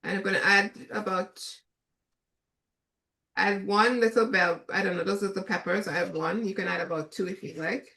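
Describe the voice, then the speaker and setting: A Trinidadian woman chef giving a relaxed, chatty cooking demonstration, with many fillers. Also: medium pitch, moderate pace, gravelly, echoey room.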